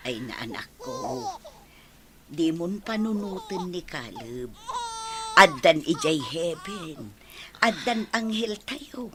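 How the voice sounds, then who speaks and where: A woman playing a caring, excitable elderly mother in an Ilocano radio drama, with an Ilocano accent and motherly, exclamatory delivery. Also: fairly low pitch, slow, pauses often, noisy background. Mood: tender, sorrowful.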